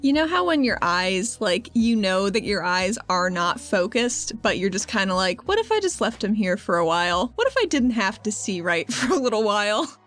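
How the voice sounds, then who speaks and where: A woman's voice: medium pitch, sing-song, normal speed, few pauses, fairly bright tone. A woman playing on a tabletop roleplaying podcast, chatting casually and playfully and improvising what her character does. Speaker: player on a tabletop game podcast. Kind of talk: casual, playful game chat and improvised narration.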